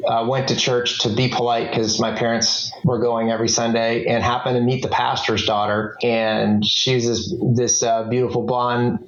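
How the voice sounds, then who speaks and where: A man's voice: mid-range voice, moderate pace, few pauses. A middle-aged man from Massachusetts tells casual stories as a podcast guest. His voice sits in a roomy space and comes through a little muffled and dull. He talks in an easy, conversational way. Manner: relaxed storytelling, casual. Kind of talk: casual interview chat.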